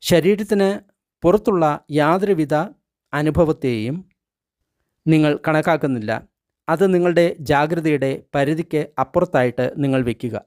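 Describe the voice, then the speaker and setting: Man guiding meditation, slightly high voice. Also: a little mellow, no echo, clean studio sound. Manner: slow, deliberate instruction in short phrases with pauses. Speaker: meditation guide reading a translated book. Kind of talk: guided meditation narration.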